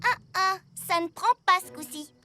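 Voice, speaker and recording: A performer voicing a blunt, quick-witted child superhero sidekick in a French cartoon dub, with emphatic delivery. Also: very high voice. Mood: wry, blunt. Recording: music behind. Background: cartoon music.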